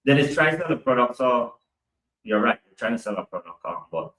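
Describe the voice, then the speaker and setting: A man talking on a podcast in an animated, emphatic way and swearing casually. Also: medium pitch, a little fast. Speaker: podcast guest, cybersecurity specialist. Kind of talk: casual chat. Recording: echoey room, mic at a distance.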